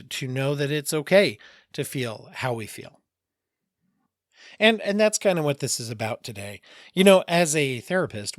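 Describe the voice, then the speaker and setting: Slightly raspy jokey podcast host. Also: male voice, medium pitch, dry recording, studio quality. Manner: rambling, jokey asides and self-corrections. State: a bit raspy voice. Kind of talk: casual solo mental health tip.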